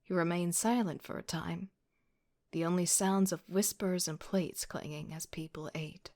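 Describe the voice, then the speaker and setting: Woman playing frazzled student girl narrating audio drama, kinda low voice, snarky and down on herself. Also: steady tone, a little slow, a little mellow. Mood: wry, self-conscious. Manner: self-deprecating, sardonic. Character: agitated, exasperated young woman student narrating her own story. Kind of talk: first-person audio drama narration with outbursts.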